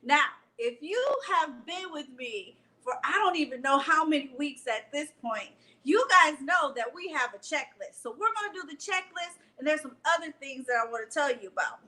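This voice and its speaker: A middle-aged woman hosting a library story time, talking directly to children and reading aloud in an expressive way. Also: high-pitched, normal speed, few pauses. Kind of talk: children's read-aloud and chatty announcements to young viewers.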